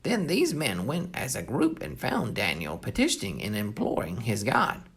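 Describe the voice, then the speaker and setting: A storyteller reading a Bible story aloud to children in an expressive, animated way. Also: mid-range voice, normal speed, vocal fry, husky. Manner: animated, explains hard words simply.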